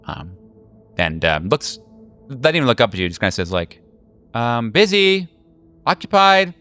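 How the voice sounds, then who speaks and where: Low, smooth-voiced man game mastering. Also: animated, a bit bright, faint music behind. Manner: halting, slangy, jokey. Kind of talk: casual improvised tabletop horror narration.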